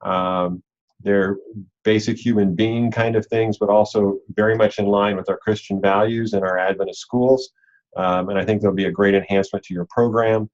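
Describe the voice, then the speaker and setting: Low-voiced man leading an online briefing. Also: fairly even intonation, moderate pace, a little mellow. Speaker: robotics league organizer and coach leading a call. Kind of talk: informal instructional walkthrough on a conference call. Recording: dull, lo-fi online call audio.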